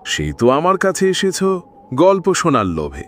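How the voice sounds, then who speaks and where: A man voicing a genial older village tantric in a cartoon, expressive, conversational and teasing. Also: a little high, normal speed, a little hiss or hum, voice pushed up. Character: genial older male village tantric storyteller, brisk father.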